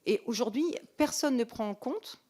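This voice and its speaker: A woman's voice: on the high side, animated, moderate pace, mellow. A woman speaking as a local-government representative at a hearing, semi-formal and earnest, pressing her points emphatically. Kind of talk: semi-formal spoken testimony, answering questions.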